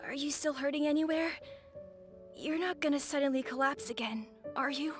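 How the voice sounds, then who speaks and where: A woman's voice: sits high, normal speed, soft background music, close to an everyday voice. A woman voicing a young daughter, anxious and pleading over her sick father in a video game. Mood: concerned.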